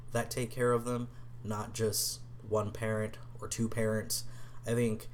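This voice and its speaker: Soft-spoken young man, fairly low voice. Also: a little hiss or hum. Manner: hesitant, frequent ums and restarts. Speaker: vlogger. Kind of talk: casual vlog answering tag questions.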